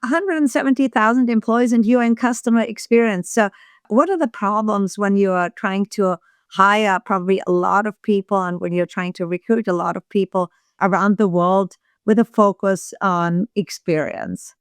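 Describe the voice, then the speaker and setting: Woman podcast host, mid pitch, dry close sound, friendly direct questions. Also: normal speed, clean tone, dry recording. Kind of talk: interview questions.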